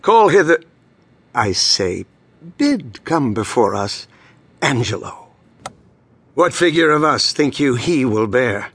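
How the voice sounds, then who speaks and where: An actor playing a solemn ruling duke in a Shakespearean stage drama, with formal, measured, oratorical delivery. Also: male voice, mid-range voice, sing-song, a bit bright, slight background noise, heavily acted. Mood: solemn, deliberate. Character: stately ruling duke, solemn, handing over power. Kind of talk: classical Shakespearean stage acting.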